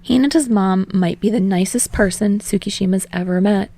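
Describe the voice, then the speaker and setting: A woman's voice: mid-range voice, normal speed, mellow. A woman reading scripted fiction aloud as an audiobook narrator.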